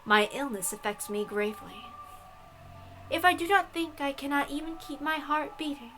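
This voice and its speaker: Woman voicing an ancient, haughty, high-voiced witch. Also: soft background music, low-quality recording. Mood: serene, superior. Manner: quiet, measured, formal and imperious. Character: ancient, haughty, frail female witch, formal and serene. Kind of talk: visual novel read-aloud.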